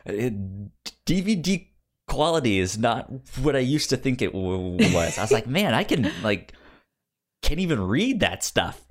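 Upbeat, animated male podcast host. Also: mid-range voice, unhurried. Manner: upbeat and enthusiastic; stammering over words. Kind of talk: casual chat.

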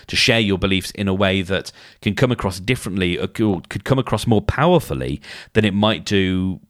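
A hoarse man hosting a casual solo podcast, thinking aloud and often restarting his sentences, talking close to the mic. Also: low-pitched, no echo. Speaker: podcast host.

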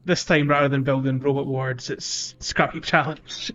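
A man's voice: mid-range voice, level tone, normal speed, fairly bright tone, highs cut off. A man co-hosting a gaming podcast in casual, rambling chat, thinking aloud as he goes. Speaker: podcast co-host.

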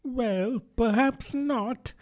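Man doing a young girl, storybook, high-pitched, curious, polite, earnest, chatty. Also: lively intonation, measured pace, darkish tone. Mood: apologetic. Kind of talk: storybook character voice.

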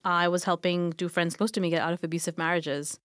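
A woman in her thirties from Pakistan, a nonprofit founder answering interview questions in a relaxed way with plenty of fillers. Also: on the low side, fast talker, clear, resonant tone, dry recording, studio quality. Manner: conversational, many fillers.

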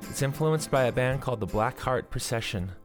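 A young adult man with a soft voice, hosting his own music podcast and casually explaining the songs he plays. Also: medium pitch, a little fast. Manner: relaxed, explanatory, soft-spoken. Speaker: podcast host and songwriter. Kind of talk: casual spoken introduction to a song.

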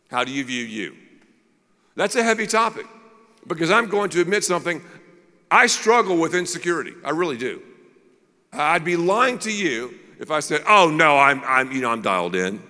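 Bright, animated preacher man. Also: a little high, normal speed, long pauses, big-room sound. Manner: candid, self-deprecating storytelling. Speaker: pastor. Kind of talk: sermon with a personal story.

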